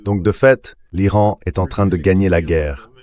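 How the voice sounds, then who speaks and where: A man's voice: low voice, moderate pace, darkish tone, natural voice. A man's synthetic dubbing voice, speaking as a guest giving translated interview commentary.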